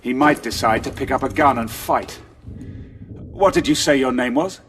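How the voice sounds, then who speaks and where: A man's voice: mid-range voice, moderate pace, noisy background, lightly acted. An actor playing a weary, stern male military commander who gives clipped orders. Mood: brusque. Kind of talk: audio drama acting.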